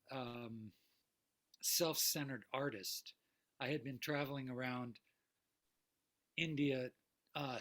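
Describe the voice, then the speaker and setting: A man slowly recalling his past on a video call, hesitating and restarting often. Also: mid-range voice, a bit bright. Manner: slow, hesitant, with many restarts. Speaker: satsang participant. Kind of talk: personal recollection on a video call.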